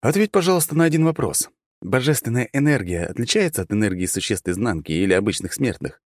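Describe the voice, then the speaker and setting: Male reader as audiobook hero, sarcastic and argumentative, raised pitch, close dry recording. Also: expressive, quick pace, dry recording. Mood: sarcastic, accusing. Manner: mocking, argumentative.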